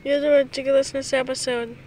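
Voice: high-pitched, level tone, brisk, darkish tone, slight background noise, rough recording. Someone chatting casually and playfully to camera, often repeating phrases, recorded on a phone inside a car. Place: inside a car, back seat.

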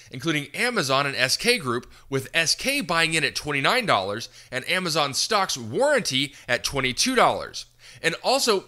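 A man's voice: fairly high pitch, bright, forceful tone. A man hosting a podcast, reading scripted news commentary in a polished, emphatic presenter's delivery. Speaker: podcast host.

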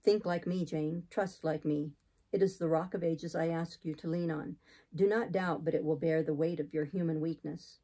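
A woman voicing a zealous, austere male missionary in an audiobook, solemn, deep and unyielding as he exhorts. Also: fairly even intonation, normal speed, warm tone. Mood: solemn urging. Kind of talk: audiobook character reading.

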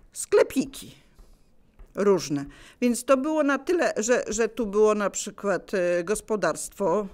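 An older woman casually sharing memories as a local resident, searching for words and repeating herself to explain. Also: medium pitch. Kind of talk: casual spoken reminiscence.

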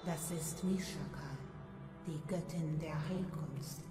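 Slow, echoing ghostly mother. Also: deep voice. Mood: serene, consoling. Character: serene, consoling spirit of a dead mother. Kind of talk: dramatic fantasy audio drama acting. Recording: echoing hall, distant, faint music.

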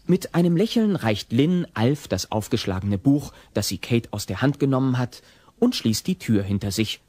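A man's voice: medium pitch, lively intonation, normal speed, a little mellow, no echo. A man narrating an audio drama in a scripted storytelling style.

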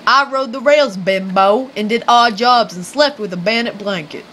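A scrappy farm hick turned rail rider played by a woman in an amateur radio skit. The voice is bright and forward, folksy and full of old slang, over faint background noise. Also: a little high, moderate pace, natural voice. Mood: folksy, cocky. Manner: folksy, ungrammatical, slangy talk.

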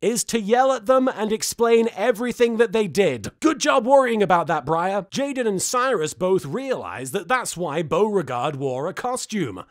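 High-pitched, animated, sarcastic man. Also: normal speed, hardly any pauses. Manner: sarcastic, exasperated, sweary. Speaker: host of an anime recap series. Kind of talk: comedic recap and reaction commentary.

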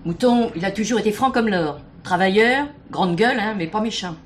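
A woman's voice: mid-range voice, moderate pace, slight background noise, close to an everyday voice. An actress playing a plain-spoken, gossipy, indignant working-class woman giving testimony in colloquial, insinuating French radio drama style. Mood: confiding. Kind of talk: French radio play acting.

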